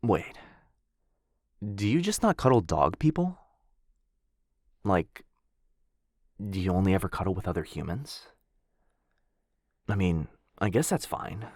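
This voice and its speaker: A man playing a shy, sweet, earnest dog-person roommate in an intimate boyfriend ASMR roleplay, speaking softly and hesitantly. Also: low voice, very animated, no echo, clean studio sound, heavily acted. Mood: confused, hurt. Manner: soft, hesitant, halting, bashfully rambling.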